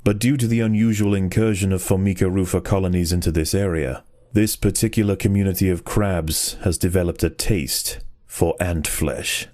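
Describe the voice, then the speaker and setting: A man narrating in a scripted nature documentary style for a video game, measured and grave. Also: sits low, a little mellow, no echo, studio quality.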